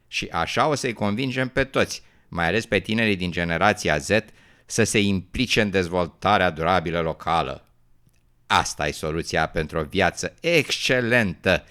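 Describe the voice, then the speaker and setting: A man's voice: low voice, sharp tone, clean tone. A man playing a kind, earnest newt who dreams of travelling, in an educational radio drama. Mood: excited.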